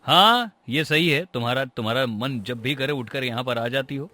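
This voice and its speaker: A man's voice: mid-range voice, moderate pace, sharp tone, natural voice. A man playing an angry young husband who scolds and accuses sarcastically in a family story. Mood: sarcastic.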